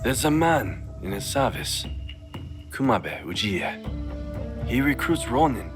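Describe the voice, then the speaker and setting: A man playing a calm, courteous and wary informant in a samurai video game, speaking in a measured, cautionary way. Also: medium pitch, music in the background. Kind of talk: dramatic video game cutscene acting.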